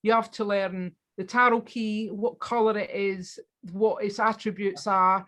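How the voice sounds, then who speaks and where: A woman's voice: medium pitch, fairly even intonation, a little slow, fairly bright tone. A woman guest in a casual interview, talking with enthusiasm and often restarting her sentences. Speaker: guest on spiritual practice.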